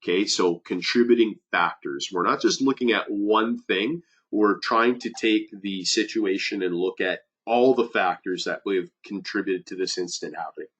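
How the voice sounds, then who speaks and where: A man's voice: on the low side, normal speed, hardly any pauses, a bit bright, slightly rough, muffled recording. A man who is a safety advisor, giving a webinar presentation with many fillers and restarts, sounding nasal from a cold.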